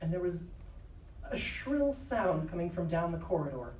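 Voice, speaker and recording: A woman's voice: lowish voice, sing-song, moderate pace, darkish tone. A woman narrating a scripted drama live. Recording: echoey live stage, distant, faint noise.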